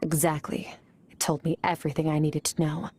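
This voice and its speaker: Cool, composed swordswoman, low-set voice, calm, detached, game voice acting. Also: brisk, breathy edge. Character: aloof powerful woman warrior, cool and composed.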